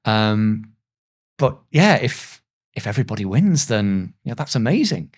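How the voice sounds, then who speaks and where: A man speaking reflectively as an interview guest, earnest and self-deprecating, with halting pauses as he gathers his thoughts. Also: lowish voice, very animated. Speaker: voice actor, podcast guest.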